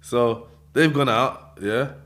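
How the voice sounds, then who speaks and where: A man with a London accent telling a story in an interview, in animated street slang, acting out voices and laughing often. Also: medium pitch, slow pace. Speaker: interview guest.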